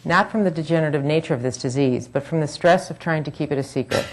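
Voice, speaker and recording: Woman, forties, deep voice, earnest prepared talk, frank with dry asides. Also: fast talker. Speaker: speaker at a public forum. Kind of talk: reading a prepared personal talk.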